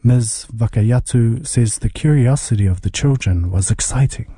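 A man narrating a radio feature report in a measured, scripted way. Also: medium pitch, crowd noise.

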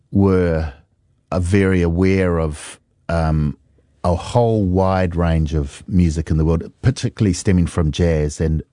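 A man's voice: low-pitched, mellow, dry recording, clean studio sound. A middle-aged New Zealand man, a musician, telling stories reflectively and unhurriedly in an interview, with many fillers. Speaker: musician guest. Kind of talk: relaxed interview storytelling.

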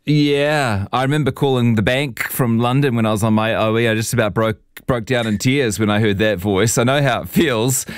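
A man with a New Zealand accent hosting a radio interview, relaxed and chatty. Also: medium pitch, moderate pace, hardly any pauses, clear, resonant tone, dry recording. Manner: relaxed, chatty, says "mate". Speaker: radio host.

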